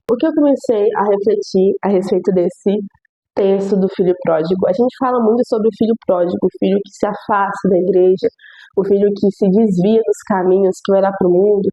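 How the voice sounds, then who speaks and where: A woman's voice: medium pitch, mellow, lo-fi sound. A young woman, congested and nasal from a cold, earnestly reading scripture and reflecting on it in a devotional video, often repeating phrases for emphasis.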